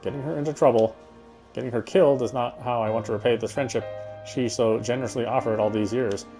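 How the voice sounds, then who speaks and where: A man's voice: medium pitch, fairly even intonation, fast, warm tone, faint music behind, low-quality recording. A man reading a first-person game story aloud, now and then stumbling over a word and repeating it.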